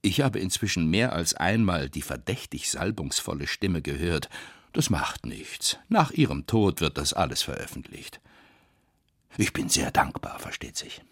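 A man's voice: low voice, big pitch swings, measured pace, fairly bright tone, breathy edge, no echo, studio quality, full character voice. A man playing a weary, persecuted Russian writer, reading his letters in a radio documentary. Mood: bitter sarcasm.

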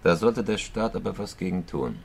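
An actor playing a guarded, hardened criminal in a radio drama, answering in laconic, deadpan short replies. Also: male voice, low voice, normal speed, creaky, husky, full character voice. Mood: guarded, dry. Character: terse, guarded, hardened male criminal under interrogation.

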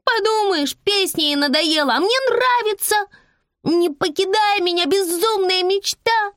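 A woman voicing a defiant, bratty eight-year-old girl in an audiobook, with a loud, whiny, taunting sing-song delivery. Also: very high-pitched, animated, measured pace, no echo, studio quality, pitched above the performer's own voice, full character voice. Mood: cheeky.

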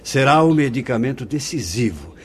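Radio drama: fatherly spirit director, husky, solemn, formal and preaching, with lively rise and fall. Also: medium pitch, moderate pace. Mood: solemn, instructive. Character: solemn, kindly male spirit director of a hospital, fatherly mentor.

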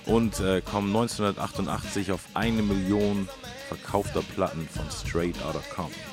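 Low voiced guy co-hosting a music chat, casual talk, dry close sound w faint music. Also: steady tone, moderate pace. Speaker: co-host.

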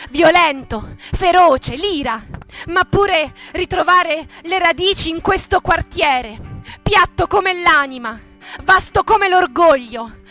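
High-pitched woman reciting dramatically over music. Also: sharp tone. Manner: declamatory, solemn, measured phrases. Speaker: stage performer reading. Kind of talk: dramatic poetic recitation for radio theatre.

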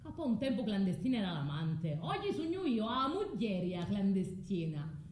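An actress with a southern Italian accent playing a proud, vengeful, scorned foreign wife in a declamatory radio drama monologue. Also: female voice, medium pitch, very animated, moderate pace, a little mellow, reverberant, slight background noise, close to an everyday voice. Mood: bitter, reflective.

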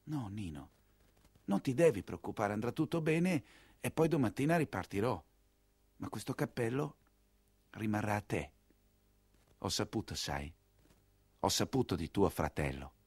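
A man's voice: fairly low pitch, big pitch swings, unhurried, pauses often, a little mellow, breathy edge, no room sound, pitched below the performer's own voice, exaggerated voice. A man voicing an impetuous, insistent, idealistic young count in a theatrical monologue. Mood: hushed, reassuring.

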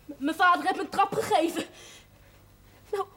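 Faint hiss from an old TV soundtrack sits under a hurt village girl. The voice is very high, childlike and halting, naive and plain-spoken but brave in a naturalistic drama. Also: bright, forceful tone. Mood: shaken, hurt. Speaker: actress in a television drama series. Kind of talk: naturalistic television drama acting. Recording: slightly hissy old television soundtrack.

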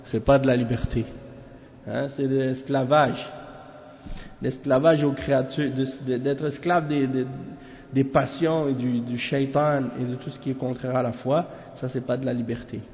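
A man's voice: mid-range voice, steady tone, normal speed, darkish tone. A man giving a religious lesson in a casual, familiar way, often checking in with his listeners. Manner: casual, familiar talk with frequent "hein?" asides. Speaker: religious teacher. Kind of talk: lesson explaining a commentary. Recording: echoey room, dull lo-fi sound.

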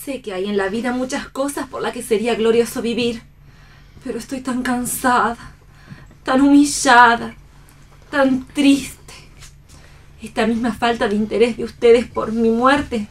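A woman's voice: on the high side, steady tone, unhurried. A woman playing a weary, defiant, despairing stage actress in a radio play, speaking in a declamatory, theatrical way.

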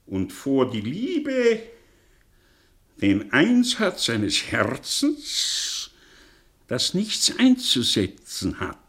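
An actor playing a cynical, bitter professor in a radio play, declaiming in with sardonic rhetorical questions. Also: male voice, medium pitch, huge pitch swings, drawn-out words, a bit bright, full character voice. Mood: bitter mockery. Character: bitter, cynical male professor, theatrical intellectual monologist. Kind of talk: German radio play acting.

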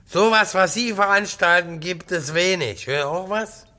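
An actor in a radio play as a drunken, cranky, coarse tenant who rambles and jeers. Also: male voice, sits high, unhurried, sharp tone. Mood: jeering, provoking. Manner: blunt, coarse, jeering, swinging between barking and muttering. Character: drunken, cranky, rambling jobless male tenant, newly widowed. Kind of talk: radio drama acting.

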